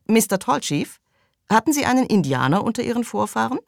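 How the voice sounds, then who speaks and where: A woman voicing talkative, opinionated settlers who grumble and hold forth in an animated way in an audiobook. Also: fairly high pitch, fairly quick, creaky, no echo, studio quality, pitched above the performer's own voice. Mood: grumbling, chatty. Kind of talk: audiobook character dialogue.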